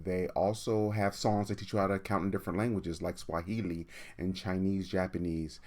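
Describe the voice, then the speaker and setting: Very deep-voiced man hosting storytime. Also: level tone, moderate pace, a little mellow. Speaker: reader hosting a livestreamed storytime. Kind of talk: chatty livestream talk and expressive read-aloud of a children's book.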